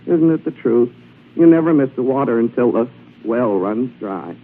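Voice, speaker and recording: A good-humored family man from a 1940s radio sketch, put on by a male announcer. His rueful, homely lines sit at a middling pitch. The old recording is tinny, with a clear layer of noise. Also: animated, normal speed, natural voice. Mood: philosophical amusement.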